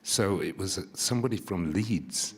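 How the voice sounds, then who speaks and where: A man's voice: sits low, moderate pace, breathy edge. A man on a discussion panel, talking informally about local history.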